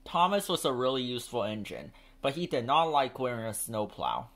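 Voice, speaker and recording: A man reads a children's storybook aloud as narrator. His pitch sits in a middle range, and he tells the story as scripted narration. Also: very animated, brisk, a bit bright, a little hiss or hum.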